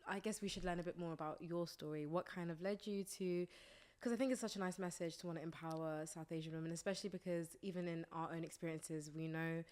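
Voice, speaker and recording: A woman's voice: fairly low pitch, fairly even intonation, quick pace, hardly any pauses, a little mellow. A woman hosting a casual interview, informal and affirming as she frames her questions. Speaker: podcast host.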